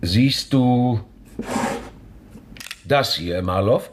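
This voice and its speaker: Contemptuous, animated police inspector. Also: male voice, medium pitch, extremely slow. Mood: menacing. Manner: contemptuous, gruffly dismissive. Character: bitter, threatening veteran Moscow police inspector. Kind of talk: noir radio drama acting.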